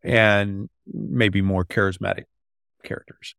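A man's voice: lowish voice, level tone, slow pace, vocal fry, fairly bright tone, clear, resonant tone, no echo, clean studio sound. A man speaking conversationally as an interviewer.

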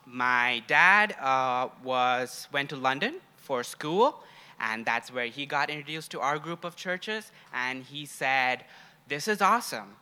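A man's voice: mid-range voice, bright, forceful tone, smooth. A young man from Sri Lanka and India speaking to a congregation in an informal, conversational sermon style, sharing personal stories. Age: young adult. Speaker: guest preacher, grad student.

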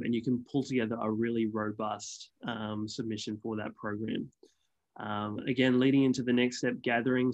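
A man explaining the award submission process on a webinar in a measured way, often pausing on ums. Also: mid-range voice, a little mellow, poor audio. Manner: measured, many ums and restarts. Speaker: awards consultant guest.

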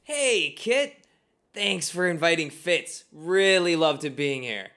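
An actor playing a sardonic but dutiful grown son in a radio play, with a dry, apologetic manner. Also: male voice, fairly high pitch, lively intonation, takes it slowly, sharp tone, exaggerated voice. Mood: sarcastic.

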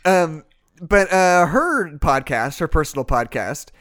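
A man's voice: on the high side, big pitch swings, measured pace, fairly bright tone. Casual, self-deprecating young man co-hosting a podcast. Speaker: podcast host. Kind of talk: casual chat.

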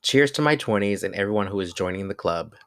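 A man in his twenties with a very low, deep voice, recorded close and dry with no room sound. He talks in a relaxed, candid way, pausing on fillers and correcting himself as he goes. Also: no room sound. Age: 20s. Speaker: vlogger. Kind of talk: reading his own essay aloud, then casual reflection.

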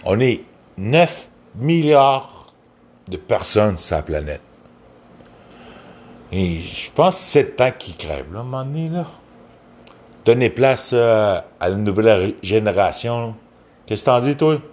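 A man with a Québécois accent and a slightly low voice that swings widely in pitch. He rambles through a casual, profane rant, hesitating as he goes. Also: very animated, unhurried, pauses often, a little mellow. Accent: Québécois French. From Quebec.

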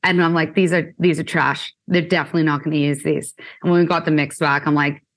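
A woman's voice: deep voice, fairly even intonation, fast. A young woman from Canada, a musician, chatting in an interview with lots of "like" and "um". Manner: chatty, many fillers like "like" and "um". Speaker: musician guest. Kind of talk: casual interview chat.

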